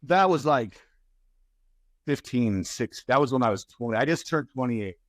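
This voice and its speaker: A man's voice: mid-range voice, dry recording, studio quality. A man in his forties, a guest author and speaker, talking candidly in an interview with frequent restarts. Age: 40s. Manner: halting, restarts often, animated when telling stories.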